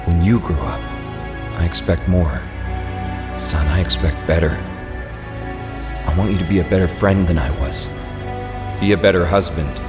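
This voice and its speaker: A man voicing a grave, resolute scientist in a superhero comic dub, speaking in a solemn, measured way. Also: deep voice, lightly acted. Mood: solemn, earnest. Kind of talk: dramatic comic dub voice acting. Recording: long hall reverb under loud music.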